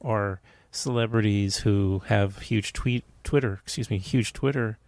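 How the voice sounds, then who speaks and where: A man hosting a casual conversational interview, musing in a halting, agreeable way. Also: lowish voice, darkish tone, dry recording. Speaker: podcast host.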